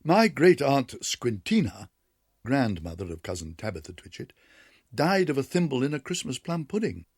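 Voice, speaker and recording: A man's voice: medium pitch, sing-song, moderate pace, husky, dry recording, studio quality, voice pushed up. A man voicing a prim, proper female cat hostess who speaks with polite disapproval.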